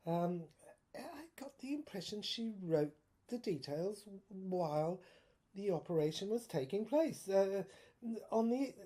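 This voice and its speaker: A woman playing a haunted, bewildered officer in a dramatic monologue, stammering through visions in halting fragments and drifting into breathy whispers. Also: mid-range voice, lively intonation, warm tone. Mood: halting, musing. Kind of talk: dramatic first-person monologue.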